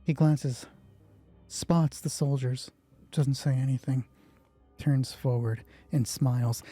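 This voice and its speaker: A game master running a solo tabletop roleplay, thinking aloud and narrating in a sweary, excitable way. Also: mid-range voice.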